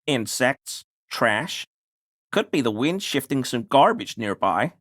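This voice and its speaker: A man's voice: mid-range voice, very animated, slow, fairly bright tone, no echo, studio quality, exaggerated voice. An actor playing a paranoid, furtive deliveryman in a video game, conspiratorial and evasive, deferential yet smug. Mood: feigned innocence. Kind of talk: video game character dialogue.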